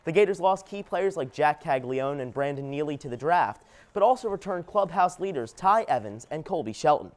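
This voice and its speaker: A man's voice: a little high, expressive, moderate pace. A young man reading a scripted sports news update as a TV anchor.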